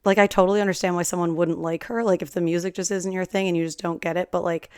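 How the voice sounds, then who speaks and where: A woman in her twenties, slightly hoarse, hosting a solo podcast in a chatty, rambling, big-sister way. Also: on the low side, level tone, quick pace, no room sound, studio quality. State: slightly hoarse from singing at a concert. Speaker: podcast host. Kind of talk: casual solo podcast chat.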